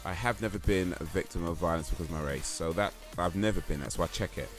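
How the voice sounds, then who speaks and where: Man, deep low voice, casual streamer chat, rambling and hesitant, faint music underneath. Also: quick pace. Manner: rambling, hesitant, many fillers and restarts. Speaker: livestream host. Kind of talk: casual stream chat, reading chat and quiz aloud.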